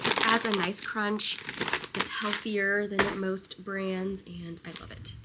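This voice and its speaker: A woman's voice: medium pitch, fairly even intonation, slow, very noisy. A young woman, a teacher, chatting casually to her phone camera with a stuffed-up nose, filling her talk with "like". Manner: chatty, many "like"s, talks to camera. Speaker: teacher and vlogger. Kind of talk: casual vlog chat.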